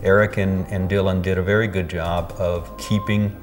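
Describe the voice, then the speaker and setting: An American man speaking as an expert interviewee, giving measured commentary in English. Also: sits low, flat delivery, soft background music.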